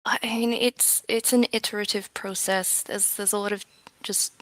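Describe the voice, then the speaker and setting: A woman's voice: medium pitch, steady tone, normal speed, a bit bright, dry recording, low-quality recording. A woman being interviewed as a game developer, chatting casually in a hesitant, thoughtful, self-effacing way.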